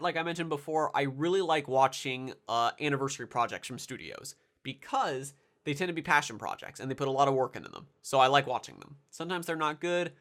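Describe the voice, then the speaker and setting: A man's voice: medium pitch, a bit bright. A congested young man with a nasal voice, rambling casually through anime commentary with lots of fillers and restarts. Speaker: anime video reviewer.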